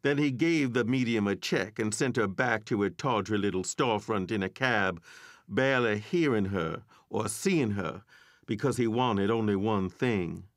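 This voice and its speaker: Lower-voiced male storyteller narrating evenly. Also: dry recording, clean studio sound. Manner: measured, even storytelling.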